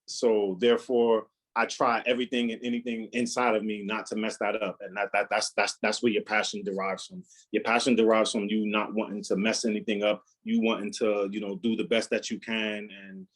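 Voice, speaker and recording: A young adult man chef answering cooking questions in a casual live demo, with a loud, carrying voice, often repeating words and using fillers. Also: lowish voice, little pitch movement, moderate pace.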